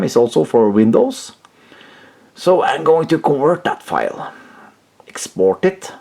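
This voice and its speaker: Halting low-voiced man with lively, swinging pitch. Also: creaky. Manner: halting, hesitant, searching for words. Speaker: host of a retro computer channel. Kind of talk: casual unscripted tech demonstration.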